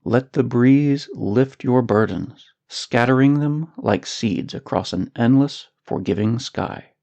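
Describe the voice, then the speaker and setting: A man narrating a scripted guided meditation in a soothing, measured, unhurried manner. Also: medium pitch, vocal fry, warm tone.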